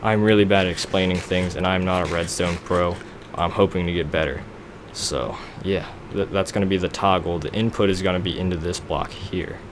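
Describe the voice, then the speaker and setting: Young man, deep voice, rambling self-deprecating gameplay commentary, noisy home mic. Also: steady tone, normal speed, few pauses. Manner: rambling, self-deprecating, talks to himself while building. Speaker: let's play gamer.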